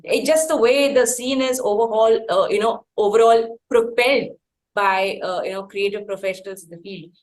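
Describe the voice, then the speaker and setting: A woman's voice: on the high side, moderate pace. Wordy Indian woman interviewer. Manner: effusive, wordy. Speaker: interviewer, host. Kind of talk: conversational music interview.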